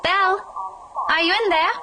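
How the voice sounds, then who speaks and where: A man's voice: very high voice, fairly even intonation, normal speed, pitched above the performer's own voice. A man voicing a concerned young woman in a horror visual novel, in a questioning, coaxing manner. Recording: clear background noise.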